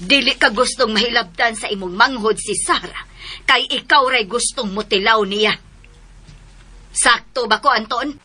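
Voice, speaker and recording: A woman's voice: fairly high pitch, normal speed, sharp tone. An actress playing a stern, shrewd mother of grown sons in a radio drama, scolding and commanding. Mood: stern, accusing.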